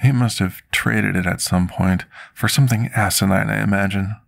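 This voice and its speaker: A man playing a scarred, remorseful potion master in an intimate ASMR roleplay, speaking formally and deliberately like someone confessing his past. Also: lowish voice, fairly even intonation, creaky. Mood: sorrowful, confessional. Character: scarred male potion master, devoted, remorseful, soft-spoken servant.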